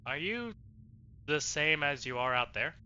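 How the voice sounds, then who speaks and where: A man doing expressive, emotional gameplay commentary as a streamer, casual and sweary. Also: a little high, unhurried, smooth. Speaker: let's play streamer. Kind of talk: gameplay commentary with reading aloud of dialogue choices. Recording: dull lo-fi mic, faint noise.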